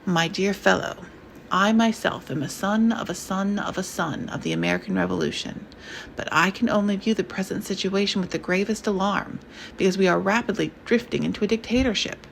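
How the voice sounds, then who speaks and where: A woman voicing a pompous, alarmist older conservative gentleman who grumbles and fusses indignantly. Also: medium pitch, brisk, gravelly, slight background noise, natural voice, rough recording. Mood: alarmed.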